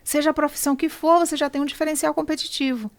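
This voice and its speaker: A middle-aged Brazilian woman speaking Brazilian Portuguese as a podcast guest, explaining things deliberately and stressing key words. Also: sits high, steady tone, no room sound. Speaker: podcast guest, consular service provider. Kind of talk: conversational interview, explaining.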